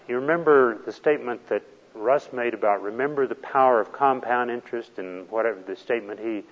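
A man's voice: mid-range voice, big-room sound, highs cut off. A man teaching a retirement planning class, explaining step by step in a patient, plain way. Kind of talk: classroom lecture.

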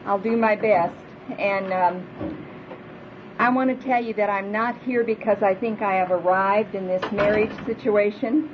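A woman's voice: mid-range voice, fairly even intonation, measured pace. A woman in her fifties with a big voice, preaching earnestly to a women's church gathering and leading prayer. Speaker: speaker at a women's church retreat. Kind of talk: sermon. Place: hall before a live audience. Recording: dull lo-fi live recording with faint noise.

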